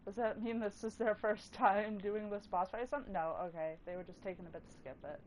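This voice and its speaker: A woman's voice: medium pitch, fast, slight background noise. A woman rambling casually while playing a video game, swearing freely and breaking off with startled outbursts. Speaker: gaming video creator. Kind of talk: casual rambling commentary over gameplay. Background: game music.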